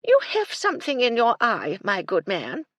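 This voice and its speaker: A narrator voicing an eccentric elderly widow who talks in a high, chirping, airy way. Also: female voice, wildly swooping pitch, normal speed, fairly bright tone, dry recording, clean studio sound, exaggerated voice. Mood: chirpy. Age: older.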